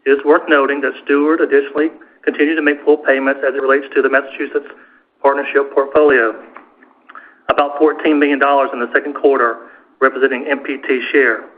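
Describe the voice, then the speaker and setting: A man's voice: medium pitch, little pitch movement, fast. A man, a finance executive, reading a scripted financial report on a corporate earnings call. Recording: tinny, narrow phone-line conference call.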